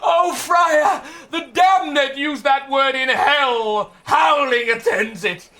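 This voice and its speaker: A distraught young lover, very high and bright in voice. He declaims slowly, with raw anguish, in a classical Shakespearean radio play with faint background noise. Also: exaggerated voice. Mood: anguished, raging. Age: young adult. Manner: declamatory, anguished, bursting into shouts and sobs. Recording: faint hiss, 1990s radio drama CD.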